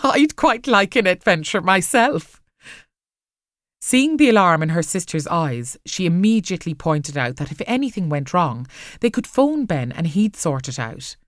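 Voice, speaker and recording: A woman narrating an audiobook story in an expressive, scripted reading. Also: deep voice, moderate pace, no room sound, studio quality.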